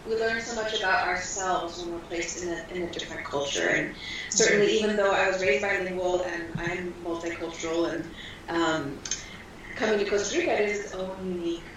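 A woman's voice: lowish voice, steady tone, brisk. Earnest woman in an echoey distant room. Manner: flowing, earnest, frequent ums. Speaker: school founder guest. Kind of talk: earnest interview answers. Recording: echoey room, far from mic, noisy.

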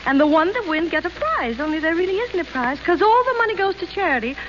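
A woman's voice: extremely high pitch, big pitch swings, fast, fairly bright tone, exaggerated voice. An actress playing a giddy, naive young society woman who rambles breezily, in 1930s screwball radio style. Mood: naive, chatty. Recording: dull, hissy 1930s radio recording.

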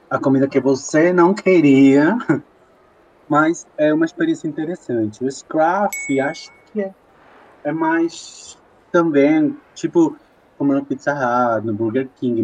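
A young Peruvian man, a native Spanish speaker with a clear accent, telling his story in an interview. He talks slowly and loosely, dropping in lots of fillers. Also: mid-range voice, darkish tone, muffled recording. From Peru. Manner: slow, unhurried, chatty with many fillers. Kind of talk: casual personal storytelling.

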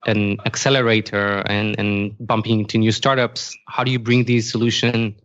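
A man hosting an interview in a conversational style, deliberately building up long questions. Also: fairly low pitch, flat delivery, a bit bright, no echo, lo-fi sound. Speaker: interviewer, host.

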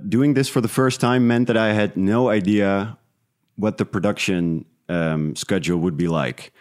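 A man from the Netherlands who grew up speaking talks in a fairly low voice. He is a guest in a relaxed interview, thinking aloud with some hesitation as he explains himself. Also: moderate pace, faint music behind. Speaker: interview guest, independent podcast creator. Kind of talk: casual interview conversation.

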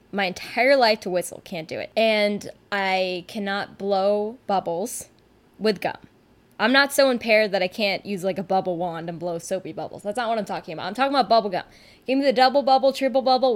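Chatty woman rambling through a podcast. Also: mid-range voice. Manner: chatty, rambling, candid and self-deprecating. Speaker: podcast host and rock musician. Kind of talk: casual solo podcast monologue. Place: at home on a couch.

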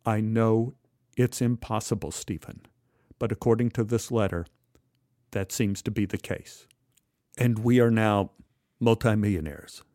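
A man voicing a stunned American wife who speaks quietly, carefully and in a measured way in a story. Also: lowish voice, no room sound, studio quality, natural voice.

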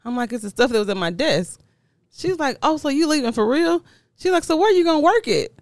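A woman's voice: high voice, animated, a little fast, no room sound, clean studio sound. A middle-aged woman chatting casually as a podcast co-host, teasing and exasperated in a slangy way. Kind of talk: casual banter with partner. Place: indoors at home.

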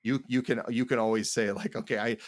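A man co-hosting a casual chat about game mastering, talking in a halting, rambling way and often repeating words. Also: medium pitch, steady tone, dry recording, studio quality. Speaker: podcast co-host.

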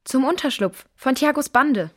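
A woman's voice: high voice, expressive, moderate pace, husky. A woman playing a direct, persuasive girl from an adventure crew in a children's audio drama, frank and pressing. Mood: puzzled.

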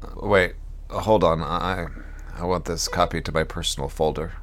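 A man's voice: low voice, steady tone, moderate pace, lightly acted. A man playing a weary, bookish moonbase crewman who mutters wryly to himself in an audio drama log. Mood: startled, thoughtful. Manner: muttering to himself, wry and brooding. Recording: background noise under the voice.

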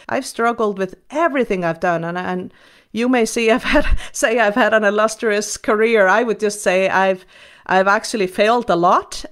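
A woman talks through her answers in a steady, mid-pitched voice. She is earnest and measured, pausing on ums and starting over now and then. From Iceland. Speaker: guest. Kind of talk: reflective interview answers.

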